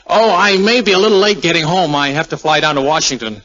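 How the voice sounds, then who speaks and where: A man's voice: on the high side, quick pace, a bit bright, close to an everyday voice. Man acting a tender 1940s radio-drama airman, muffled. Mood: playful. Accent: American. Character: tender, wisecracking young army air officer in love.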